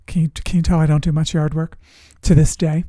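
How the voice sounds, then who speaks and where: A middle-aged coach telling personal stories to camera in a conversational, expressive and deliberate way, with dramatic pauses and repetition. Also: mid-range voice, no echo, studio quality. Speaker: emotional resilience coach and show host.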